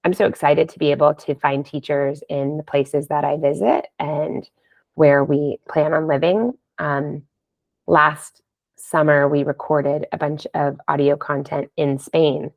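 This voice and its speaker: A slightly dull, dry recording of a woman guest in a casual podcast interview. She talks in a low-pitched voice and sounds keen on what she shares, chatting in an informal conversational style. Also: fairly even intonation, a little slow, vocal fry, no echo. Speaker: podcast guest, wellness app founder.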